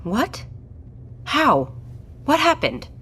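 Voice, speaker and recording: A woman's voice: sits high, huge pitch swings, extremely slow, pushed and bright, heavily acted. A woman playing a direct, questioning doctor and project leader in an audio drama. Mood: alarmed, confused.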